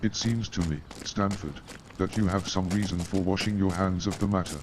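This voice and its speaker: Man acting war veteran doctor, low voice, formal, wry, weary, radio play, noisy clicky recording. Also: fairly even intonation, normal speed, lightly acted. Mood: formal, inquisitive. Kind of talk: staged radio play dialogue.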